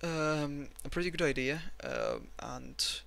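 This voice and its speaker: A man with a Russian accent walks through market charts at his desk, rambling and self-mocking. His voice is gravelly and bright, recorded on a desk mic. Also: a little high, slow pace. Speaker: trading analyst. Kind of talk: screen-recorded chart analysis.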